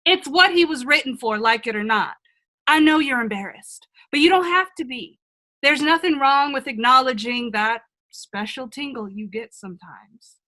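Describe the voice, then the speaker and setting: Woman acting wise older mirror self, highish forceful voice, frank, earnest, reassuring, fairy-tale radio play. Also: normal speed, low-quality recording.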